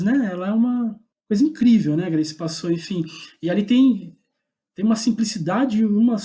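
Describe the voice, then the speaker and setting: A man's voice: sits high, dark tone. A Brazilian man speaking as a guest playwright in a casual, reflective interview, searching for his words as he goes. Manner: thoughtful, halting, searching for words. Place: remote online call.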